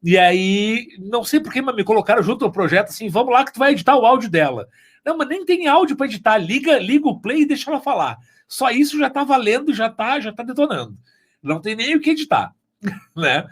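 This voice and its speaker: Brief: man, high voice, very lively intonation, effusive and playful podcast chat. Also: quick pace. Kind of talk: casual chat.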